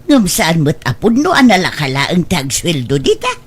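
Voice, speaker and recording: A woman's voice: on the low side, wildly swooping pitch, normal speed, husky, full character voice. A woman with an Ilocano accent playing a blunt, skeptical village woman who scolds and exclaims in an audio drama.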